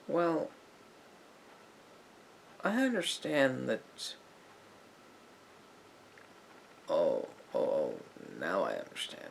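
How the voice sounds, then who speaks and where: Man playing a slow, low-voiced, patient therapist. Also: gravelly, slight background noise, full character voice, low-quality recording. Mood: probing, puzzled. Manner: halting, deliberate phrasing with pauses and reflective murmurs. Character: patient, probing male therapist gently counselling a client. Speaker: ASMR roleplay performer. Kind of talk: soft-spoken ASMR roleplay, one side of a conversation.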